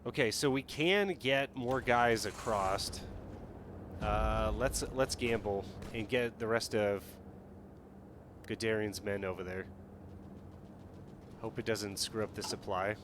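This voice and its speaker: A man's voice: lowish voice, slow, long pauses, noisy background. Man thinking aloud over a strategy game. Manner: casual, thinking aloud while playing. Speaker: streamer. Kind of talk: live game commentary. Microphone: headset.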